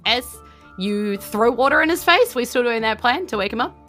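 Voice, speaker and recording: A woman's voice: fairly high pitch, animated, normal speed, fairly bright tone, faint music behind. A woman with a New Zealand accent, running a casual tabletop roleplaying game as game master.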